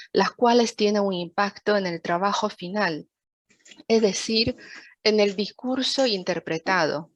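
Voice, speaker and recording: A woman's voice: medium pitch, no room sound. Formal woman lecturer, native Chinese speaker. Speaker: interpreter giving a talk. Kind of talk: prepared lecture, read from a script.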